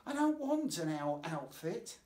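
A man voicing a small, stubborn, excitable girl with an insistent childlike manner in a children's storybook. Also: on the high side, a little slow, pitched above the performer's own voice. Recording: roomy, echoing voice.

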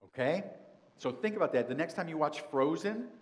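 A middle-aged man, a pastor, giving a children's sermon and asking the kids many gentle questions. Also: a little high, animated, a little fast. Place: large church sanctuary. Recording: long church reverb.

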